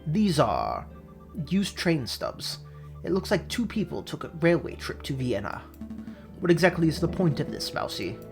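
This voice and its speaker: Performer as a formal, animated falcon lawyer. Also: mid-range voice, normal speed, gravelly, soft background music. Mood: puzzled. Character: formal, brooding male falcon defence lawyer.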